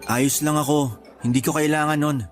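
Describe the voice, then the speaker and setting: A man's voice: a little high, fairly even intonation, normal speed, faint music behind, natural voice. A man with a Filipino accent playing a stubborn, easily irritated single man of thirty-one in a radio drama. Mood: defensive. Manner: curt, defensive.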